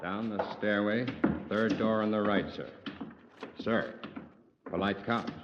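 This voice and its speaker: Wry American, noisy vintage radio. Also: male voice, on the low side, slow pace. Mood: wry, curious. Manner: terse, wry. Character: American visitor to Scotland Yard, plain-spoken, wry. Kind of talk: 1950s radio drama, first-person narration. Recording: noisy, muffled old radio recording.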